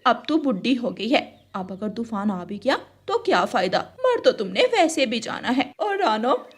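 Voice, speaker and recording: Mocking cartoon crow lady, female actor, haughty, fairly high and rough-edged. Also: very animated, measured pace, exaggerated voice. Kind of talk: children's animated story voice acting.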